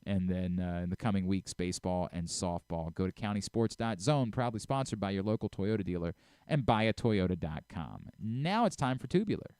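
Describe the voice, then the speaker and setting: A man's voice: deep voice, lively intonation, fairly quick, a little mellow, clean tone, dry recording. A man with an American accent hosting a sports radio show, bantering and teasing casually, interrupting and repeating himself, on a close studio microphone. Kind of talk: casual sports banter with live sponsor reads.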